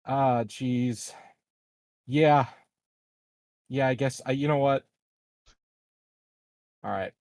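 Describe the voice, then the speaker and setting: A man's voice: mid-range voice, drawn-out words, long pauses, a little mellow. Guy player in an rpg session, casual banter, kinda hesitant, no room echo. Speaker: player in a tabletop roleplaying game.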